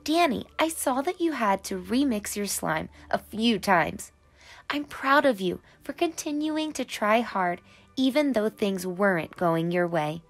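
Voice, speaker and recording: A woman voicing a kind, proud female schoolteacher from a picture book, speaking in a measured, encouraging way. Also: mid-range voice, animated.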